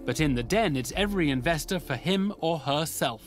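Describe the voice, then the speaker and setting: A man's voice: a little high, expressive, moderate pace. A man with a British accent delivering dramatic scripted television narration. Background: music.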